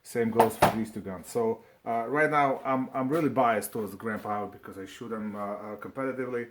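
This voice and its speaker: This is a man with a slightly low voice and a Slovak accent. He talks like a casual video reviewer working without a script. His delivery is halting and hesitant, and he often doubles back on his words. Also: very noisy. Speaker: video presenter reviewing firearms. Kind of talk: casual unscripted product review.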